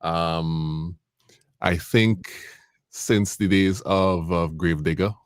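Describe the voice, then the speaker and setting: A man's voice: deep voice, steady tone, slow, smooth, no room sound, clean studio sound. A man hosting a live-stream interview, eager and chatty, often repeating words as he talks. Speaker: host. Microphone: video call.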